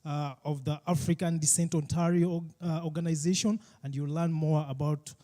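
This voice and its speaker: A man's voice: fairly high pitch, fairly even intonation, normal speed, mellow. A man hesitantly wrapping up an introduction at a panel event, with fillers. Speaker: moderator introducing speaker.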